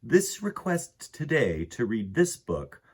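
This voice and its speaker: A man with a husky voice reading a picture book aloud to children in an expressive way, with dramatic pauses and emphasis. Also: medium pitch. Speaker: children's storytime host and reader.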